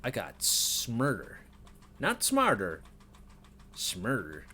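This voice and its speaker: A man's voice: medium pitch, wildly swooping pitch, drawn-out words, sharp tone, a little hiss or hum. A man in his twenties rambling self-critically in an unedited personal vlog, with nervous laughs and many fillers, recorded in a small bedroom on a desk webcam. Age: 20s. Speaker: vlogger.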